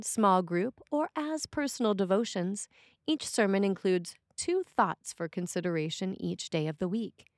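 A woman reads a prepared sermon as a priest would. Her phrasing is deliberate and measured, at an average pitch for a woman. The sound is dry, with almost no room in it. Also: no room sound. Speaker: priest reading a written sermon. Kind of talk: scripted sermon reading.

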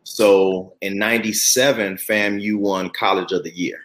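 A man's voice: on the low side, fairly even intonation, measured pace, a bit bright. A man in his forties hosting an interview in a conversational way. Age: 40s. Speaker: interviewer and host.

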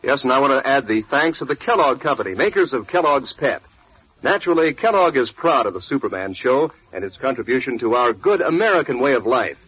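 A man's voice: medium pitch, very animated, brisk, slightly rough, no room sound. A man announcing a 1940s radio commercial with punchy, emphatic salesman delivery. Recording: dull vintage radio recording, music.

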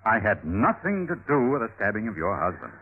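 A tinny vintage radio recording carries a scheming Italian nobleman. The voice is low and husky. Every phrase is florid and theatrical, heavy with lofty, put-on grief in radio drama fashion. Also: big pitch swings, brisk, full character voice. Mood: mournful, pleading. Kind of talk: classic radio drama acting. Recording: tinny, band-limited old radio recording.

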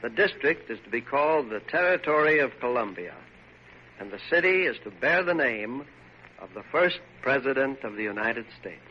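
A dull, faintly noisy vintage radio recording. A man plays a respectful aide to a president, speaking in a formal, deliberate way at a medium pitch. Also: lively intonation, lightly acted. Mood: respectful, proud. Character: respectful male aide bringing news to president. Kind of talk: old-time radio drama acting. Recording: noisy, band-limited old radio recording.

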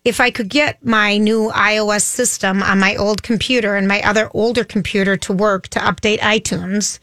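A woman co-hosting a radio show, telling long rambling stories in a chatty, loud-voiced way. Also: mid-range voice, fairly even intonation, normal speed, no echo. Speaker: radio co-host. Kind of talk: casual radio chat. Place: radio studio.

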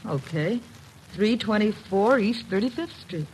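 A woman's voice: mid-range voice, expressive, unhurried, a little mellow, natural voice. Lady acting a wary young nightclub singer type, old radio drama, streetwise slang, slightly noisy recording. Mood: worried. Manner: slangy, guarded, anxious.

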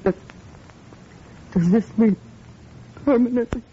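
Distraught woman, high tearful voice, halting delivery, old radio drama, dull hissy recording. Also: dark tone, breathy edge, full character voice. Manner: halting, emotional. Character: anxious, tearful woman, patient's distraught loved one. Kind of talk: 1940s-50s radio drama acting.

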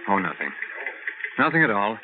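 An actor playing an anxious, gossipy newspaper colleague, exclamatory, in 1940s radio drama style. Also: male voice, lowish voice, very animated, moderate pace. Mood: worried. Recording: noisy, tinny old radio recording.

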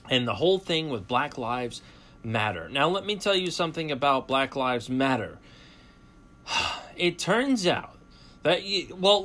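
A man's voice: on the high side, pushed and bright. A man hosting a college radio talk show, delivering a boastful, sarcastic opinion monologue. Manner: boastful, sarcastic, self-mocking.

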